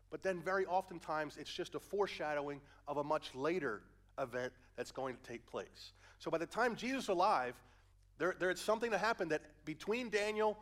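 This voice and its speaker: A man's voice: fairly high pitch, a bit bright. Man preaching with a head cold. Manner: conversational, asks the audience questions. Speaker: pastor. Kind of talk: sermon.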